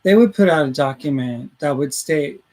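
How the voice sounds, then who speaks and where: Rambling radio host guy, voice on the high side, reads out the chat. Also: moderate pace, a little mellow. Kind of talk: casual talk radio chat.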